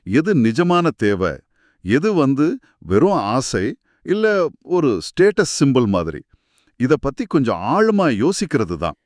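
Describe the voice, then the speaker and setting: A man's voice: mid-range voice, huge pitch swings, slow, pauses often, a little mellow, dry recording. A man co-hosting a conversational podcast about a folk tale, explaining things and posing reflective questions. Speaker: co-host.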